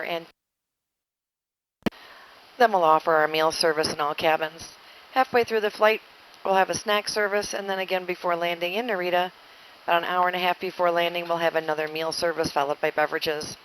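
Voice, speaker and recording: Woman flight attendant doing inflight announcement, lower voice, cabin pa with some background noise. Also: a bit bright. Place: inside an airliner cabin in flight. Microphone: cabin PA handset. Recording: noisy airplane cabin PA.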